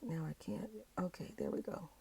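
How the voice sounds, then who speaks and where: Low, raspy woman with a cold. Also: mellow, low-quality recording. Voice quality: raspy, froggy voice. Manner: unhurried, thinking aloud with frequent okays and uhs. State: hoarse from a cold. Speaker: digital planner video creator. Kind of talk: casual talk-through while decorating a planner. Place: indoors at a tabletop, hands working an overhead-filmed tablet.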